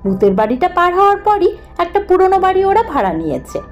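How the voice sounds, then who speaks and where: Very high-voiced woman narrating a story. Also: very animated, brisk, dull audio. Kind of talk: first-person scripted ghost story narration. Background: background music.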